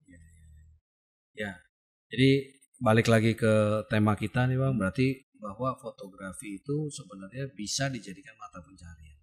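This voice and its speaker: A man's voice: lowish voice, normal speed, husky. A male host running a casual interview, agreeing often and asking short prompting questions.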